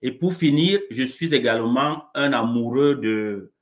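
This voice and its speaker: A man, a coach being interviewed live over a video call, speaking in a warm, measured way with frequent restarts. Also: medium pitch. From Cameroon. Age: 40s. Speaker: coach and guest.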